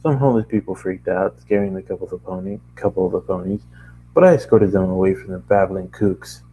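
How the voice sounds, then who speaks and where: A man narrating a first-person story as an amateur reader, halting now and then to correct his phrasing. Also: low-pitched, dark tone, a little hiss or hum, rough recording.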